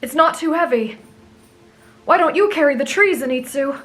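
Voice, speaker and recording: A woman's voice: high voice, expressive, normal speed. A woman playing a kind, earnest teenage boy swordsman in an anime fan drama, speaking politely and patiently. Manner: gentle, polite, patiently explanatory.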